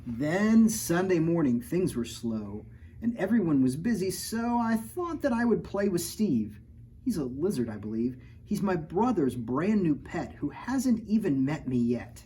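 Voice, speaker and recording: A man's voice: fairly high pitch, sing-song, unhurried, mellow, a little hiss or hum. A man reading the rhyming picture-book part of an innocent, self-justifying three-year-old girl in a sing-song cadence. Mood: playful scheming.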